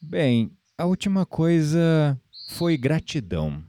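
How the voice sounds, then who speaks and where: An actor playing a gentle, earnest spiritual confidant with a Brazilian accent in an audio drama. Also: male voice, a little high, very animated, slow pace, no room sound. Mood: moved, reflective.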